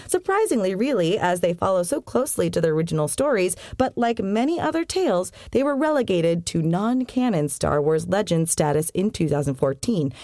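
A woman narrates as a podcast host. Her delivery is scripted and very animated in pitch, with wry little asides. The sound is close and dry, with very little room to it. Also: no echo. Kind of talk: scripted narration of science fiction history.

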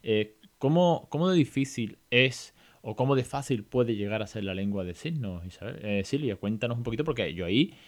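A man's voice: mid-range voice, animated, moderate pace, few pauses. A man hosting a podcast, asking his guest questions in a chatty, rambling way. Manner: chatty, asks questions in friendly runs. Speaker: podcast host. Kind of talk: casual interview questions.

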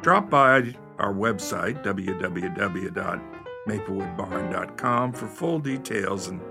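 Male host for radio drama outro: scripted, expressive pitch movement, music clearly underneath. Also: takes it slowly. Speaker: radio theater announcer and company president. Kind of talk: scripted announcement over music.

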